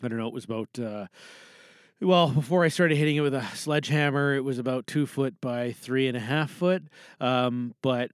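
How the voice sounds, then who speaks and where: A man's voice: mid-range voice, normal speed, clean tone, no room sound. Chatty Canadian man, dry close sound. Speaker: podcast host. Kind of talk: casual rambling solo chat. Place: small recording booth.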